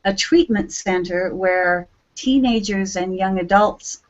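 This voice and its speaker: An earnest woman talks as a guest in a casual interview, rambling a little and restarting her sentences. Her voice sits at a middle pitch, and the recording sounds dull and lo-fi. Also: slow pace. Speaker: guest singer-songwriter.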